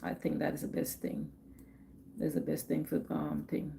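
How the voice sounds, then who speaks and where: A woman's voice: sits low, very animated, moderate pace, creaky, warm tone, slight background noise. A middle-aged woman with a Guyanese accent, chatting casually on her livestream, repeating herself and laughing often. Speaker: livestream host.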